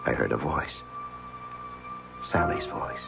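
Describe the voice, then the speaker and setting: Deep-voiced, conflicted young attorney. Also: male voice, lively intonation, slow pace, gravelly, music in the background, full character voice. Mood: troubled, confessional. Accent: American. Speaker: actor narrating and playing the lead. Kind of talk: 1970s radio drama acting. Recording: dull lo-fi vintage radio recording.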